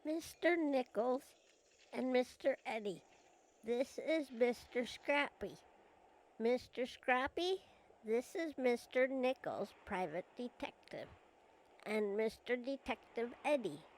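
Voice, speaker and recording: A woman's voice: on the high side, lively intonation, takes it slowly. A woman playing a polite, eager, naive character with a formal manner in an old time radio drama. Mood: eager, proper. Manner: formal, addresses everyone properly.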